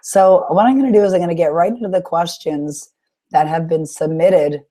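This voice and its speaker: A woman with a low, mellow voice hosts a live webinar, her throat a little sore. She coaches listeners through their questions in an encouraging way, pausing often with small fillers. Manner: warm encouraging coaching talk with frequent "uh" pauses. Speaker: webinar host and author coach.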